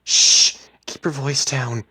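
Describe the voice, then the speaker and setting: A man voicing a frightened, furious wife with a sharp, sarcastic tongue in a dramatic story reading. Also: medium pitch, little pitch movement, slow pace, breathy edge, pitched above the performer's own voice, heavily acted. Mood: hushed, urgent. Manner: exasperated, swearing, emphatic.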